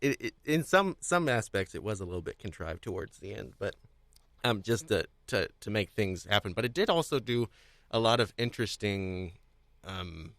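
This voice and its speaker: A man with a fairly low voice, recorded close and dry. He chats casually as a podcast co-host, rambling and circling back as he works out his phrasing, with a self-deprecating, jokey streak. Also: very animated, moderate pace, fairly bright tone, dry recording. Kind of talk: casual panel chat.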